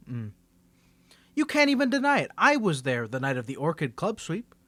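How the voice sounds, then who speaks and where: A man's voice: medium pitch, fairly bright tone, dry recording, lightly acted. A man playing a confident, teasing young superhero in a scripted audio roleplay, bantering playfully and stammering when he gets flustered. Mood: smug.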